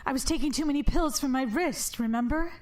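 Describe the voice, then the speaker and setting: A woman's voice: high-pitched, fairly quick, a little mellow, natural voice. An actress playing a guarded, weary but firm woman in a naturalistic audio drama, with a curt, dry manner. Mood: defensive, weary.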